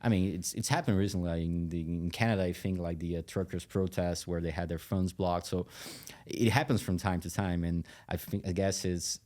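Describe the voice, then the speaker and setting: A man with a very deep, mellow voice. His intonation stays flat as he explains things conversationally. He talks haltingly, with frequent asides, like a guest in an interview. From Brazil. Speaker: tech company CEO, interview guest.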